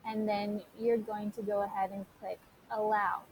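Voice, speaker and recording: A woman teacher giving an informal software tutorial, talking listeners through it step by step and addressing them directly. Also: medium pitch, level tone, moderate pace, slight background noise, poor audio. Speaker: teacher recording a tutorial for students.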